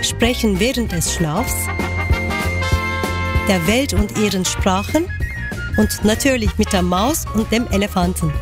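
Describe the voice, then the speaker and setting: Woman announcer over loud music. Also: mid-range voice, animated, measured pace, long pauses. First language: Turkish. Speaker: show announcer and translator. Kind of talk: scripted show intro announcement.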